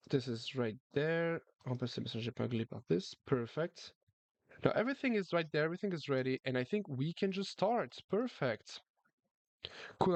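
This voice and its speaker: A man's voice: a little high, dry recording. A man chatting casually on a live chess stream, reading the chat and often repeating his words. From France.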